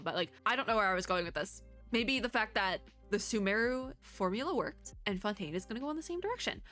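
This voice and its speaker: A woman with a bright, forward voice and a medium pitch gives casual reaction commentary. She talks like a chatty video host, rambling and restarting her thoughts as she goes. Soft music plays behind her. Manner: chatty, rambling, self-interrupting. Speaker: YouTuber host.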